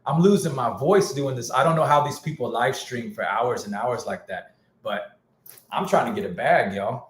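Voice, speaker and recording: Man ranting on a live stream, echoey room. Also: medium pitch. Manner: candid, slangy, repeats himself. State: losing his voice. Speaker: music producer live streaming. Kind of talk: casual livestream rant.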